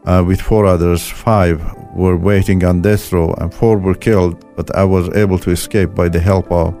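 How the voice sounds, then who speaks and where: An older man from Iran, a native Turkish speaker, telling his story as an interview guest in halting English full of "you know" fillers. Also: deep voice, steady tone, warm tone, soft background music. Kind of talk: interview testimony.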